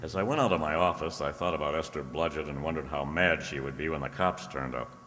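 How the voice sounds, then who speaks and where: A man's voice: a bass voice, fast, loud music behind the voice. A man playing a breezy, teasing ex-cop private detective in an old-time radio drama style, recorded in a very reverberant space. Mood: wry, matter-of-fact. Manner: breezy, teasing, first-person hardboiled narration. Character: wisecracking ex-cop private detective, unflappable, flirtatious. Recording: very reverberant room or hall.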